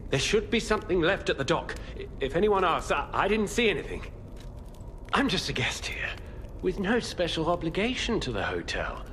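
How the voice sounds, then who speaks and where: An actor playing a self-serving, cowardly schemer in a video game, evasive, hesitant and ingratiating. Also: male voice, on the high side, fairly bright tone, long reverb tail, a little hiss or hum. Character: shifty self-serving male hotel guest, cowardly schemer.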